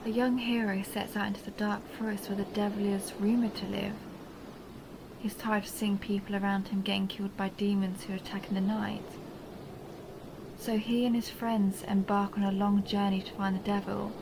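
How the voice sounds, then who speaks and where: A woman playing a seductive, possessive vampire countess in an intimate audio roleplay, speaking slowly and teasingly, often dropping toward a breathy whisper. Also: mid-range voice, level tone, background noise, natural voice. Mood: curious, amused. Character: seductive possessive vampire countess toying with her human captive. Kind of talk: intimate dark romance audio roleplay, ASMR-like.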